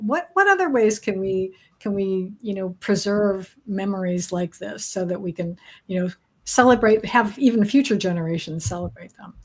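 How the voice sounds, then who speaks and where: A woman's voice: medium pitch, darkish tone. A woman hosting an online talk show, chatting casually over a video call and rambling with lots of fillers. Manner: rambling, with many "you know" fillers and restarts. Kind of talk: casual online interview chat.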